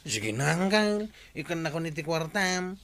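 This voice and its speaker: High, cheeky Ilocano young relative. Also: male voice, very animated, normal speed, a bit bright. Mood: wheedling. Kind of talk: Filipino radio comedy drama acting.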